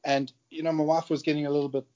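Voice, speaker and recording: A man's voice: on the high side, little pitch movement, fast, dull audio. Chatty South African man telling stories. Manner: chatty, warm, chuckles often. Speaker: guest, security contractor. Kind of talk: casual interview storytelling.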